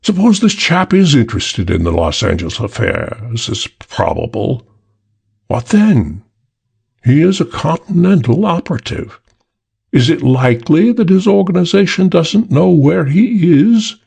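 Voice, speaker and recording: A man's voice: a little high, big pitch swings, unhurried, vocal fry, a little mellow, slightly rough, pitched above the performer's own voice, heavily acted. A man doing a cold drawling British crook.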